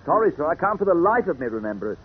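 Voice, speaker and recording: An actor playing a fussy, wordy gentleman's valet, prim, hesitant and deferential, in 1940s radio style. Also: on the high side, big pitch swings, racing, narrow, tinny audio, slight background noise. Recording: thin, band-limited old radio recording.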